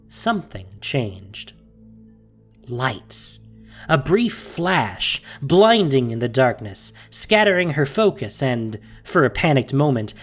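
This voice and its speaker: Expressive, dramatic storytelling narrator. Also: medium pitch.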